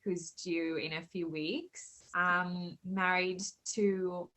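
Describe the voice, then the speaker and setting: A woman's voice: fairly low pitch, fairly even intonation, extremely slow, bright, forceful tone. A pregnant woman in her thirties with a light South African accent, chatting as a podcast guest with plenty of fillers. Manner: chatty, many fillers and laughs. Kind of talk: casual interview chat.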